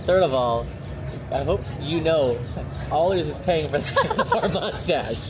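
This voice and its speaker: A man's voice: a little high, expressive, moderate pace, background noise. A man co-hosting a casual, unscripted restaurant review vlog outdoors. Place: outdoors at a busy shopping and dining area. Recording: outdoors with loud music behind.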